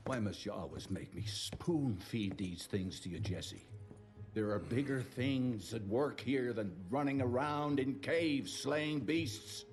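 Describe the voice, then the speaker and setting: Brief: a lecturing institute director, middle-aged, stern and slow, with music underneath. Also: male voice, mid-range voice. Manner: lecturing, condescending.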